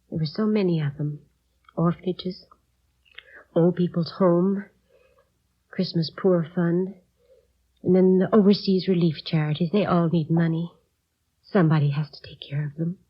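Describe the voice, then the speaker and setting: An actress playing a fussy, genteel older housewife in a 1950s radio drama, politely defiant and easily distracted. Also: female voice, on the low side, fairly even intonation, a little slow, pauses often, husky. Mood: wistful, earnest. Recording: dull vintage radio recording.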